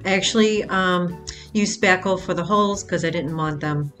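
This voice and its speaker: A woman's voice: lowish voice, normal speed, a bit bright, soft background music. A woman hosting a craft channel, talking casually step by step through a project in a voice-over, with the odd filler. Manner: plain step-by-step explaining with fillers.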